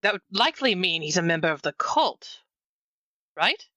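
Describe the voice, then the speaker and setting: A woman with a bright, forward voice at a middling pitch plays a tabletop fantasy game. She talks casually and bluntly, in a dry recording with no room sound. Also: sing-song, moderate pace, no room sound. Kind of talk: casual in-character roleplay.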